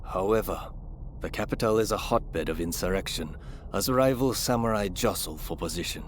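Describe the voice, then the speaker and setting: Deep voice guy narrating game history stuff, scripted, noisy background. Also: moderate pace.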